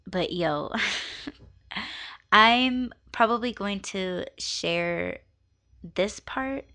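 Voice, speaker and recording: A young woman hosting her own podcast voice blog, talking casually and haltingly with many fillers, her voice raspy and weak from being sick. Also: medium pitch, sharp tone. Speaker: podcast host.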